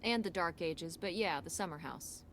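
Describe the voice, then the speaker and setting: A woman's voice: fairly low pitch, normal speed, fairly bright tone, a little hiss or hum. A woman playing an earnest, pushy teenage daughter in a naturalistic video game drama, questioning in a blunt, plaintive way. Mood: curious, teasing.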